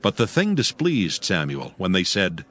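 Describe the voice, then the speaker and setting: A man narrating scripture from a script as a measured storyteller in archaic English. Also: low voice, huge pitch swings, fairly bright tone.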